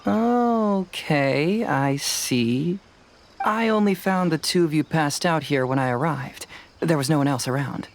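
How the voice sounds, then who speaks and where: A woman playing a composed, analytical investigator in a fantasy game, explaining things in a measured, self-assured way. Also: low voice, a little hiss or hum. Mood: curious, businesslike. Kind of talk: animated game dialogue acting.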